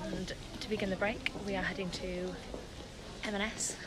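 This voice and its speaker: A woman's voice: level tone, normal speed. A woman in her twenties chatting casually and informally to a camera held at arm's length as she goes about her day. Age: 20s. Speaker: vlogger and pantomime performer. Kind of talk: casual vlog chat to camera. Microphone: phone or camera at arm's length. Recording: handheld vlog, background ambience and traffic rumble.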